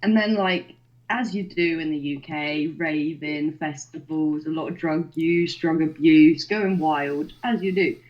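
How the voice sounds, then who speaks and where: Young woman, podcast guest, chatty storyteller, slightly low voice, muffled lo-fi recording. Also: measured pace. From Poland, raised in the UK. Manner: excited, chatty, fillers of "like". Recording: dull lo-fi sound.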